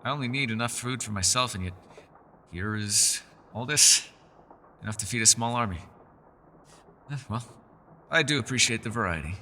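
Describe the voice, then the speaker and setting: A man voicing a centuries-old elf in a fantasy roleplay, gentle, socially awkward and chatty, with formal, old-fashioned, apologetic phrasing. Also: on the low side, expressive, normal speed, pauses often, a little hiss or hum, exaggerated voice. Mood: cordial. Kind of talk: fantasy ASMR roleplay voice acting.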